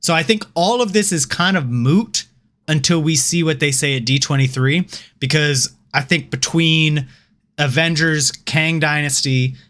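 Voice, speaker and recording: A man co-hosts a loose podcast conversation, pitched a touch above most men. He argues his view at length and swears casually. He often repeats words as he searches for the next thought. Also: unhurried. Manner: animated, opinionated, swears freely, repeats words when starting. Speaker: podcast co-host. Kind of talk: casual chat.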